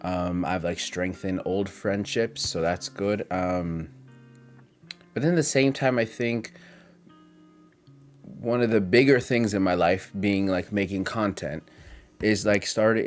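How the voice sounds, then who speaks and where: Man, twenties, deep low pitch, casual vlog monologue, hesitant, reflective, rambling, soft guitar music underneath. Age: 20s. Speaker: content creator.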